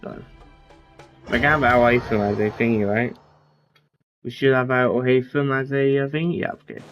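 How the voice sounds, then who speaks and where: A man's voice: medium pitch, steady tone, moderate pace, a bit bright, lo-fi sound, faint music behind. A man chatting casually while streaming a game, rambling with lots of fillers. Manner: rambling, filler-heavy speech with frequent "like". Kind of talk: casual gameplay chat.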